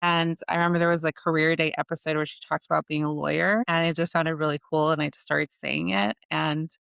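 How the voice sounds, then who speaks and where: A woman in her forties from Los Angeles talks as an immigration attorney guest on a podcast. She explains things in an earnest, practical way, full of "you know" and "like." Her voice has a rough, vocal-fry texture over a smooth, resonant tone, recorded close and dry. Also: sits low, little pitch movement, brisk, fairly bright tone, no echo. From Los Angeles, United States. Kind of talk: casual podcast interview.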